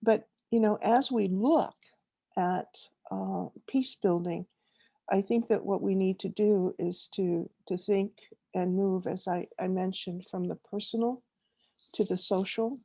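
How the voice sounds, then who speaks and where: A woman delivers her panel remarks at a slow, unhurried pace. Her voice is gravelly and warm in tone, and it is recorded dry and close. She leans on many pauses and fillers. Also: medium pitch, steady tone, no room sound. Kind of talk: online panel talk.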